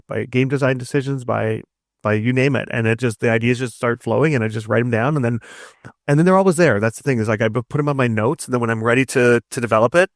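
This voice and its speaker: A man talks casually as a guest in an interview. His voice sits at a middle pitch and the sound is very dry. He tells things eagerly in a loose, rambling way, doubling back on words as he goes. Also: no echo. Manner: rambling, repeats words in bursts, enthusiastic storyteller. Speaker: interview guest, narrative designer and writer.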